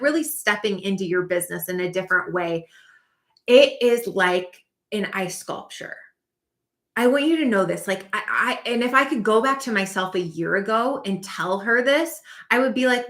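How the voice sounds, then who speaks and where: A woman's voice: mid-range voice, fairly bright tone. A woman coaching casually on a livestream, chatty and upbeat, with lots of "like". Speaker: spiritual business coach. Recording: roomy echo.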